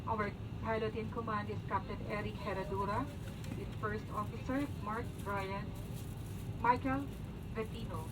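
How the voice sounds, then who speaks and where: A woman's voice: medium pitch, fairly even intonation, moderate pace, distant mic. A woman with a Filipino accent making formal announcements as a flight purser over a cabin PA. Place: aircraft cabin. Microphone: cabin PA, captured by passenger's binaural microphone. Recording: cabin PA from afar, loud aircraft noise. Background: loud aircraft cabin hum.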